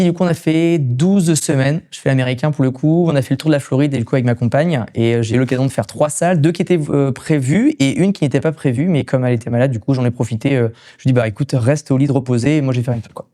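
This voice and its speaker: Man, rapid speech, soft dark tone, casual podcast storytelling, English loanwords. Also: mid-range voice. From France. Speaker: podcast guest, gym equipment coach and reseller. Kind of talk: casual anecdotal interview chat.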